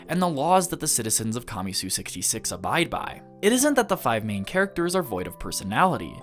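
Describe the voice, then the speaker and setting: A man reading a scripted review in the style of a video essay, earnest and measured, with emphatic stresses. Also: mid-range voice, a bit bright.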